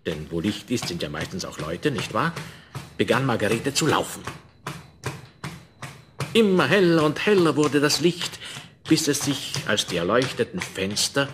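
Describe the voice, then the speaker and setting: A man narrating a fairy tale in a measured, expressive way, addressing the listener, on an old vinyl record. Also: mid-range voice. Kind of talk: scripted fairy-tale storytelling. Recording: crackly 1970s vinyl record transfer.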